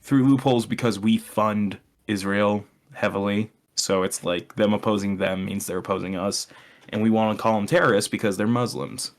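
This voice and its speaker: A man's voice: on the low side, steady tone, normal speed. A young man chatting casually as a podcast co-host, explaining his opinions in a sardonic way and swearing freely.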